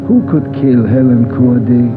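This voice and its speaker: An actor playing a mournful, kindly little Dutch restaurant owner, halting and repetitive, in a vintage radio drama. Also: male voice, medium pitch, phone-quality sound, music in the background, lightly acted. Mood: mournful, earnest. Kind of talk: 1950s radio drama acting. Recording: band-limited old radio recording.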